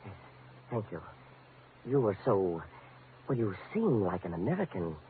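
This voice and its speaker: A cautious, nervous shopkeeper in an old radio mystery. He is polite and hesitant, with a fairly low voice. The recording is thin and clearly noisy. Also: big pitch swings, measured pace. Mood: nervous, apologetic. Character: cautious, polite, nervous male postcard shop man. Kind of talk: 1940s-50s radio drama acting. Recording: noisy narrow-band vintage radio.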